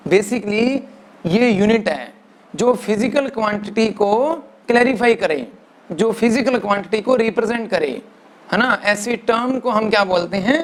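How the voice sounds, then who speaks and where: Male physics teacher lecturing, mixes english in, slow dictation, keeps saying right na. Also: high-pitched, fairly bright tone. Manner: dictates definitions slowly, word by word. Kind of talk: classroom lecture.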